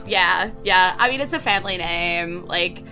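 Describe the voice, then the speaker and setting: A woman's voice: on the low side, a little slow, music in the background, voice pushed down, natural voice. A woman playing a gushing, airheaded young fortune-telling shopkeeper in a tabletop game, with a valley-girl drawl full of filler words.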